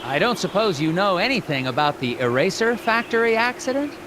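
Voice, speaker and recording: A man playing an earnest, awkward young FBI agent in an animated game, polite and hesitant with a deadpan streak. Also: high-pitched, a little fast, noisy background. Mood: curious, insistent. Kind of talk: animated adventure game dialogue and dictated notes.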